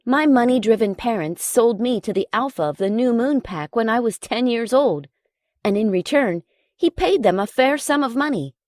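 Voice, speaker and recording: A woman's voice: a little high, lively intonation, moderate pace, a little mellow, no room sound, studio quality. A woman reading a scripted audiobook as narrator, telling a story in the first person.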